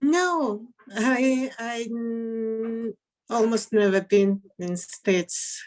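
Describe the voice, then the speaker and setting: Slow-spoken woman. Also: a little high, darkish tone. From Mongolia. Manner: brief, halting replies. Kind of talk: casual video-call conversation.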